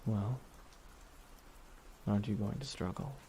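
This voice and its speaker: A man acting a weary, regretful supervillain in an ASMR audio roleplay, speaking slowly and reflectively with pauses. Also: on the low side, fairly even intonation, gravelly, warm tone, slight background noise. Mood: somber, wry. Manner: slow, reflective, pausing delivery. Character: weary, regretful male supervillain beside his dying nemesis.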